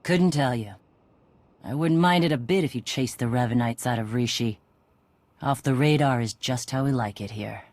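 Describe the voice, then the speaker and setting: An actress playing a tough, cocky Mandalorian clan leader in a video game, brash and sardonic in a casual way. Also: female voice, extremely low pitch, normal speed, darkish tone, no echo. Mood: firm, dismissive.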